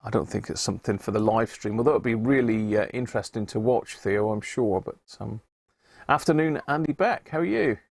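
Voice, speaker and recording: A man with an English accent and a gravelly voice, hosting a hobby electronics live stream in a chatty, rambling way, thinking aloud as he works. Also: lowish voice, fairly quick, dry recording. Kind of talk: casual live-stream chat while working at a bench.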